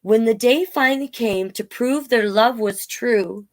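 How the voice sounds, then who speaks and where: A woman hosting a storytime, reading a picture book aloud with chatty, gently encouraging asides to listeners. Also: a little high, measured pace. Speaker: children's storytime reader and host.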